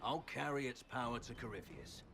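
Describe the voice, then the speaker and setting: An actor voicing a battle-worn villainous general in a video game, proud and defiant, with blunt, taunting soldierly speech. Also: male voice, medium pitch, lively intonation, brisk, creaky, noisy background. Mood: proud, menacing. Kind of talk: dramatic video game dialogue.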